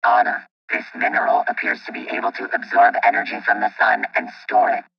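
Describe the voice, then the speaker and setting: A man voicing a calm, cautious robot guardian in a radio play, with a gravelly, husky, filtered voice and formal, clipped, analytical phrasing. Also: fairly quick, recorded from a distance, dull audio. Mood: measured, cautious. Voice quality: gravelly, husky, electronically processed.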